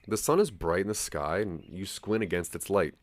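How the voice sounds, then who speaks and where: A man's voice: sits low, animated, normal speed. A man giving a scripted second-person narration for an audio drama.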